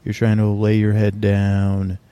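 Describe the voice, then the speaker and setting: A man's voice: lowish voice, completely flat delivery, unhurried, dark tone, clear, resonant tone. A man hosting a sleep podcast, talking in a languid, meandering bedtime monologue that drifts off topic and keeps interrupting itself.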